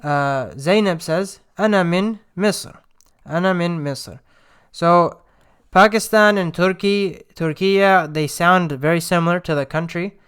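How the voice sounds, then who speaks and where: A man's voice: sits high, takes it slowly, fairly bright tone, clean tone. A young man teaching Arabic phrases from a textbook, patient and explanatory, often checking in with "right?" and "okay?".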